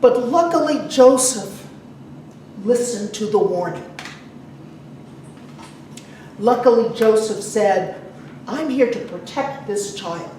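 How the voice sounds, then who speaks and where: A woman preaching a sermon to a congregation in a conversational way, putting questions to her listeners and pausing for emphasis. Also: medium pitch, expressive, dark tone. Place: large echoey church room. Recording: very echoey, distant mic, some noise.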